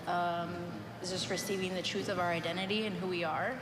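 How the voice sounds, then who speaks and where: A woman's voice: lowish voice, steady tone, brisk, heavy background noise. A young woman speaking earnestly and casually as an interview guest. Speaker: interviewee, dancer's wife. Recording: echoey hall with clear background ambience.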